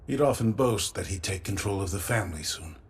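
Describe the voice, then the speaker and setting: An actor playing an older, embittered noble father in grief, with a grave, weary, gravelly delivery in an anime dub. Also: low-pitched, lively intonation, fairly quick, exaggerated voice. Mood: sorrowful, grave. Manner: grave, weary, swinging into fierce outbursts. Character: grieving, embittered older human lord, a bereaved father. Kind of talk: English anime dub acting.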